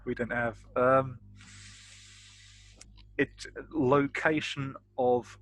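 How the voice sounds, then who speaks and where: A man's voice: medium pitch, flat delivery, drawn-out words, no room sound. A man playing a tabletop roleplaying game, casually talking through what his character will do and ask.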